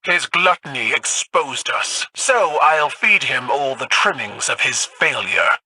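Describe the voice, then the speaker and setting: An actor playing a proud, stern, vengeful alien military ruler in a video game, clipped and imperious, heard through a radio filter. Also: male voice, medium pitch, lively intonation, slow, bright, forceful tone, husky, dry recording, full character voice, rough recording. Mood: scornful, threatening. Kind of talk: video game dramatic voice acting.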